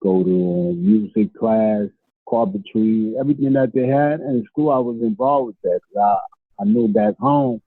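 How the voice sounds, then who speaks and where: A man's voice: on the low side, moderate pace, no echo. A man from Honduras, earnestly answering interview questions and repeating words as he starts. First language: Spanish. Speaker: guest, hip-hop artist. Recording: tinny phone-like line, dry.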